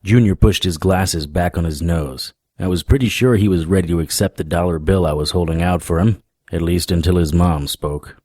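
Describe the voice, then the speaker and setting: A hard-boiled detective of the supernatural in a noir audio drama. The voice sits low and is recorded very dry. He tells it with deadpan, sardonic wit and a world-weary air. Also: normal speed, no echo. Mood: wry. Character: wry, world-weary male supernatural private detective narrator. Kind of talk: noir radio drama narration.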